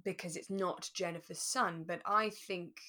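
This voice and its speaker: A woman with a slightly low-set voice co-hosts a relaxed review podcast. She explains her points thoughtfully and leans on key words for emphasis. The delivery is conversational and unscripted. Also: unhurried. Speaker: podcast co-host. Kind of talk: relaxed chat reviewing a radio drama.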